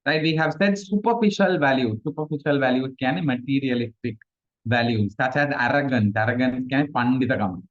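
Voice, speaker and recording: A man's voice: mid-range voice, a little fast, muffled recording. A man, a Tamil speaker, giving a casual online revision class, chatty and self-mocking. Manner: chatty, mixes in Sinhala, laughs at himself. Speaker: tutor. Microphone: video call.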